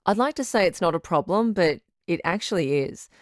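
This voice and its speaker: A woman playing a supportive, frank doctor who hosts a radio advice show in an audio drama, measured and reassuring. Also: lowish voice, expressive, dry recording, studio quality, natural voice. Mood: firm. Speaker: radio call-in host.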